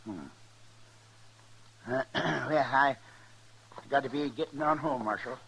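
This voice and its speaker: An American actor playing a nervous, shifty rancher who hesitates and stammers in an old radio western. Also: male voice, medium pitch, slow, vocal fry, fairly bright tone, breathy edge, heavily acted. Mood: nervous, evasive. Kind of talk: 1950s radio western acting. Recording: noisy old radio recording.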